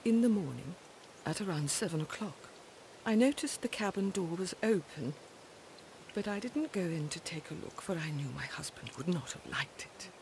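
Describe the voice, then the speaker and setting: A woman's voice: fairly low pitch, animated, moderate pace, noisy background. A woman playing a respectable, sorrowful middle-aged widow in a video game, speaking formally and hesitantly. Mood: troubled, recounting. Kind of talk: scripted game dialogue.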